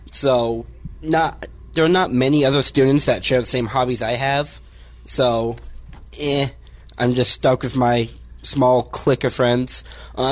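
An eighteen-year-old man, a graduating student guest, answering in a measured, thoughtful way. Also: mid-range voice.

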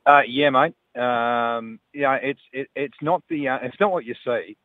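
A man from New Zealand calling a radio show by phone, telling a story in a halting, solemn, insistent way. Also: medium pitch, lively intonation, dry recording. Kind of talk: casual anecdote telling. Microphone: telephone. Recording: tinny phone line.

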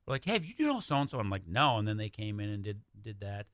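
A man's voice: fairly low pitch, big pitch swings, quick pace, fairly bright tone, no room sound. An American man chatting casually as a guest writer on a radio show, speaking close to a studio microphone. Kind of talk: casual radio interview chat.

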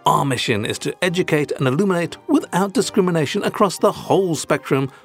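British man, podcast host, prim and formal, wordy, very animated intonation, faint music behind. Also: on the high side, fairly quick. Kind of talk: scripted comic language lesson.

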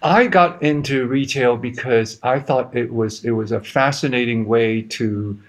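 A man in his fifties, raised in Hong Kong, who speaks with an American accent at a middle pitch. He answers at length in a halting, self-deprecating way, stumbling over his words and repeating them before finding his point. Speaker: guest, research institute CEO. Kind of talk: conversational interview answers.

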